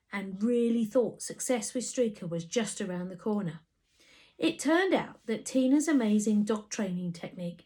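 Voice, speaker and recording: A woman reading a children's story aloud in an animated way, with dramatic emphasis. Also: medium pitch. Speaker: storybook reader. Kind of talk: expressive scripted children's story narration.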